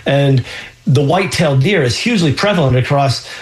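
A man's voice: medium pitch, lively intonation, brisk. A man hosting a call-in radio health show as a physician, explaining answers with frequent ums and restarts.

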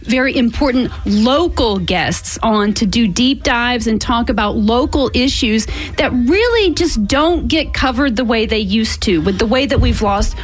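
A woman guest on a local radio show, her voice pitched a little high. She speaks articulately and with animation, in a clear studio conversation. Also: lively intonation, measured pace, few pauses. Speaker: guest co-host.

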